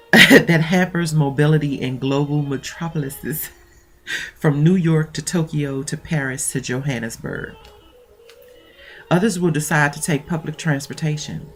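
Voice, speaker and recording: A woman's voice: sits low, slow pace, long pauses, fairly bright tone, soft background music, low-quality recording. Stuffy-nosed woman coach, chatty. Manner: chatty, rambling with fillers. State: stuffy nose. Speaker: mentoring coach. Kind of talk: casual talk and reading aloud.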